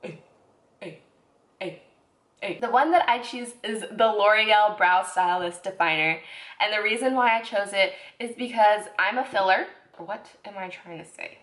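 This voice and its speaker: A woman's voice: medium pitch, measured pace, fairly bright tone. A young woman casually reviewing beauty products, chatty and self-correcting, with lots of "like"s. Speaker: beauty vlogger. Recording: echoey room.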